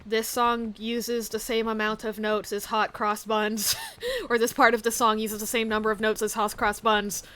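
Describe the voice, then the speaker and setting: A woman's voice: a little high, steady tone, normal speed, fairly bright tone, poor audio. A woman streaming a game, rambling casually with many fillers and giggles, with a slight lisp. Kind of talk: casual chat while gaming.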